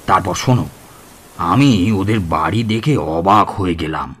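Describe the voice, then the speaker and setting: An actor playing a boastful, chatty village tantric healer who tells ghost tales in a Bengali radio drama, with a Bengali accent. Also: male voice, sits low, unhurried, faint music behind. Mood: storytelling. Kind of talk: Bengali audio drama acting.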